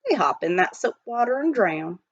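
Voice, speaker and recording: A woman's voice: medium pitch, big pitch swings, moderate pace, dry recording. A woman plays a wry old-time prospector spinning yarns in a storybook. The delivery is a rustic drawl with big rises and falls, on a slightly muffled recording. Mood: wry, folksy. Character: folksy old-time male gold miner, wry yarn-spinner.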